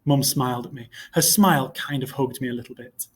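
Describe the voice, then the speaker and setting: A man reading a storybook aloud in expressive narration, like a teacher to a class. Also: mid-range voice, a little fast, breathy edge.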